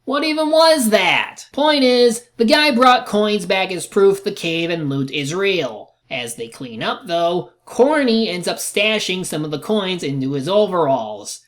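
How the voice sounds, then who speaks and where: A woman's voice: fairly low pitch, expressive, a little slow, hardly any pauses. A woman reviewer delivering scripted narration with a sarcastic manner.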